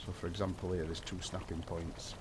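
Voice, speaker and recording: A man with a very low, croaky voice rambles casually through a game stream while he builds, a bit self-deprecating and chatty. Steady hiss and room noise sit under his voice. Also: a little fast. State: croaky from a cold.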